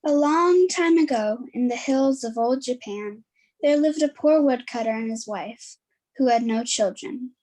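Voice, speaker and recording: A woman's voice: a little high, a little slow, a little mellow. A woman reading scripted storytelling narration as the narrator of an amateur play.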